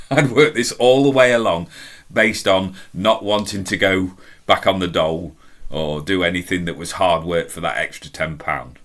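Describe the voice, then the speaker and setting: A middle-aged man with a deep, strong voice, telling a personal story in a chatty, self-deprecating, emphatic way. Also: a bit bright. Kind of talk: casual anecdotal storytelling.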